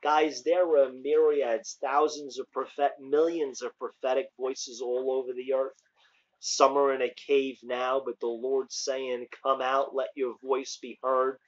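A man's voice: mid-range voice, little pitch movement, a little slow, dull audio. A middle-aged American man preaching informally as an evangelist, exhorting emphatically in colloquial language into a device microphone on a covered porch. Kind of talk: informal online sermon with scripture readings. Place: outdoors on a covered house porch. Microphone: phone or webcam at arm's length.

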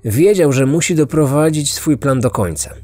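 A man's voice: fairly high pitch, animated, moderate pace, darkish tone, no room sound. A man hosting a true-crime podcast, reading a scripted narration with music behind him. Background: background music.

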